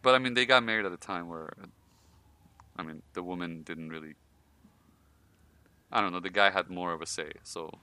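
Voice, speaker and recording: A man's voice: low-pitched, moderate pace, long pauses, pushed and bright, clean tone. A man from Iran hosting a podcast, telling his own life stories in a casual, laughing way with many fillers. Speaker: podcast host.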